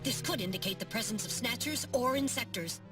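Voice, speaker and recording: A woman's voice: on the high side, a little fast, close to an everyday voice. A woman voicing a small, dutiful robot assistant in a video game, speaking in formal, precise phrases. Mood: cautious, alert. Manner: formal, precise, informative diction. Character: small polite robot personal-assistant navigator, dutiful and earnest. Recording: distant voice under loud music.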